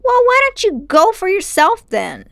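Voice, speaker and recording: A woman's voice: very high voice, huge pitch swings, unhurried, vocal fry, fairly bright tone, no room sound, clean studio sound, pitched above the performer's own voice, heavily acted. A woman voicing an outspoken, hot-tempered schoolboy in storybook dialogue, blunt, indignant and accusing. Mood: challenging.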